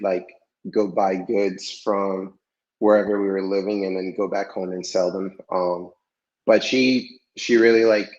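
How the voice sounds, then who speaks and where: A man guest in a casual podcast interview, talking in a relaxed, hesitant way with many fillers, French his first language. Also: lowish voice. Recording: dull lo-fi sound.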